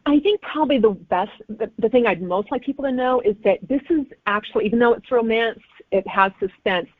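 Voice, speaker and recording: A woman's voice: fairly high pitch, fairly quick, dry recording. A woman speaking as an author guest in a casual interview chat. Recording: thin phone-like line.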